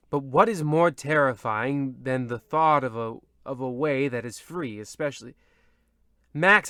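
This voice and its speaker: An actor playing a petty, theatrical male narrator of an old-time radio horror comedy, with ominous drawn-out lines and peevish asides. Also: medium pitch, a bit bright, dry recording, clean studio sound, close to an everyday voice.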